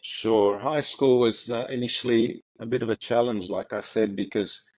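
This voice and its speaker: A middle-aged man from Ethiopia, telling his story as an interview guest in a halting way, with many fillers and repeated words. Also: medium pitch, steady tone, darkish tone, dry recording. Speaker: interview guest, retired prosecutor. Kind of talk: relaxed reflective storytelling.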